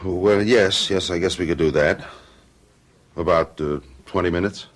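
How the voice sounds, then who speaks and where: Radio play husband: low voice, protective, careful, troubled but steadying. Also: male voice, a little slow. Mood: concerned. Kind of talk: old-fashioned radio drama acting.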